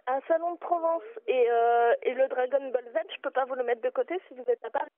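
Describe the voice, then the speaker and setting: Patient, firm female shop clerk, high-pitched, heard over a phone line. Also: quick pace, no room sound. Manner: polite but firm, patient. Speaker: bookshop clerk. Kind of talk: customer service phone call.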